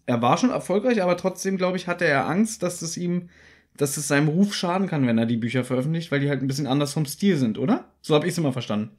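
A man's voice: fairly high pitch, expressive, quick pace. A man co-hosting a podcast in casual, conversational discussion. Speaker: podcast co-host.

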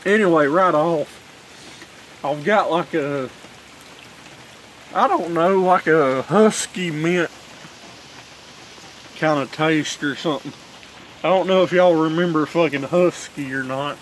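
Guy in his 20s, kinda high voice, loose cussing drawl, phone on a kickstand out on the porch. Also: slow, pauses often, a little hiss or hum, poor audio. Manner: laid-back drawl, frequent cursing. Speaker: vlogger reviewing dip tobacco. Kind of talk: casual rambling vlog chat. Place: covered porch outdoors in heavy rain. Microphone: phone camera on kickstand. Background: rain falling.

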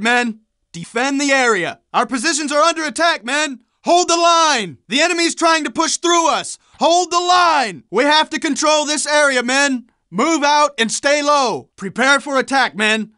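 An actor playing an urgent American World War II infantry squad leader, barking commands as combat voice lines for a video game. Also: male voice, very high voice, bright, forceful tone, no room sound, clean studio sound, full character voice. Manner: commanding, barked military orders.

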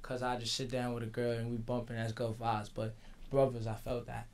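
A teenage man from the Bronx, a rapper guest answering in a laid-back, slangy, halting way in a radio studio. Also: mid-range voice, completely flat delivery, a little mellow. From the Bronx, New York. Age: teens. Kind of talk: casual interview. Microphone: studio mic on stand.

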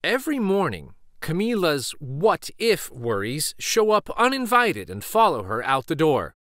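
A man reading a children's story aloud in an expressive, deliberate way. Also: a little high, no echo, clean studio sound. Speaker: storybook reader.

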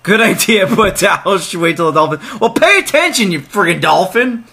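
A young man narrating a point-and-click game as he plays, his voice bright and lively, swinging up for jokey asides. He reads the on-screen text aloud in a casual, excitable way. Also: sits high, moderate pace, vocal fry, slightly rough. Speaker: let's play gamer. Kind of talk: casual let's play commentary.

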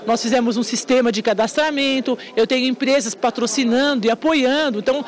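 A woman's voice: fairly high pitch, a little fast. A Brazilian woman speaks off the cuff in an interview. She talks as an organizer and craftswoman, in an unscripted way. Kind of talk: spontaneous interview answer.

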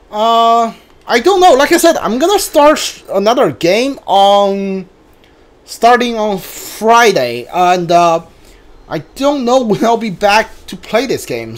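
A man chatting casually on a live game stream, halting and often repeating himself as he talks. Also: high-pitched.

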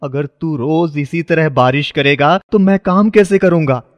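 A man's voice: on the high side, measured pace, long reverb tail, lo-fi sound, lightly acted. A man voicing a poor, kind-hearted young shoe-shine boy, earnest and pleading, in a children's moral story. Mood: desperate pleading.

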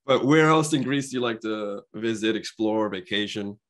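Friendly low-voiced male podcast host. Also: moderate pace. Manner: friendly, affirming, frequent backchannels. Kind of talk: casual interview chat. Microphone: video call, webcam.

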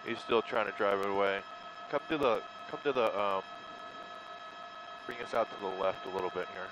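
A man's voice: on the low side, normal speed, background noise. A man giving offhand live commentary on a police chase from a news helicopter, with fillers as he talks.

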